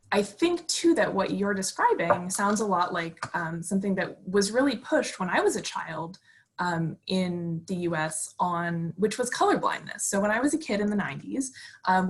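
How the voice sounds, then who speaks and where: A woman in her thirties speaking in an articulate, academic way on a video call, often checking in with "right?" and pausing with "um". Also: mid-range voice, husky. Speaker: panelist. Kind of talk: explanatory discussion on a video call.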